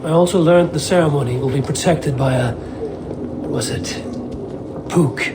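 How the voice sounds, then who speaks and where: An actor playing a hardy Norse warrior in a video game, blunt and measured, with wry questions. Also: male voice, fairly high pitch, echoey room, noisy background, lightly acted. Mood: blunt, questioning. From Norway. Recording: game audio under loud music.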